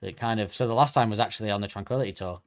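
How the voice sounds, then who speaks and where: Low-voiced man in a casual podcast chat. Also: very fast. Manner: chatty, reads facts from notes, corrects himself often. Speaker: podcast co-host.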